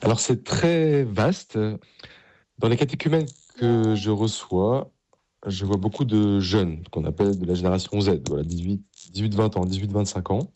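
A middle-aged man from Paris speaks as a priest in an interview. His voice sits fairly low and has a very mellow, relaxed tone. He explains things in an earnest, enthusiastic, conversational way. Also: moderate pace. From Paris, France.

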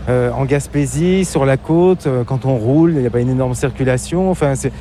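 A man with an accent from France, interviewing casually and haltingly, repeating words as he phrases his questions. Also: mid-range voice. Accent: French from France. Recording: loud car and road noise.

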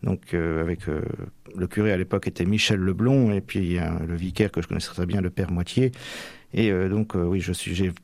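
A middle-aged man from Normandy giving reflective, halting interview answers, often tagging the ends of his phrases. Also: low-pitched, steady tone, a little mellow, dry recording. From Manche, Normandy, France. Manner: hesitant, halting, frequent tags at phrase ends.

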